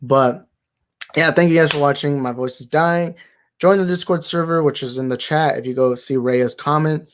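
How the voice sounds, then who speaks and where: A young man hosting a movie talk livestream, tired and slightly raspy, rambling and thanking his viewers. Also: a little high, moderate pace, a little hiss or hum. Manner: rambling, grateful, repeats himself. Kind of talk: casual livestream sign-off chat.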